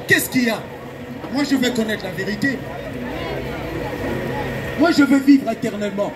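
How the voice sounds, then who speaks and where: Very high-pitched, fervent male street preacher. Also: noisy background. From Belgium. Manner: urgent, exhorting, emphatic, repeats phrases. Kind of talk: fervent open-air evangelistic sermon.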